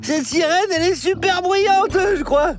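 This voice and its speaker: Tiny, very high-pitched cartoon character. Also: female voice, normal speed. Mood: startled. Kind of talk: animated children's cartoon dub. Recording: loud music under the voice.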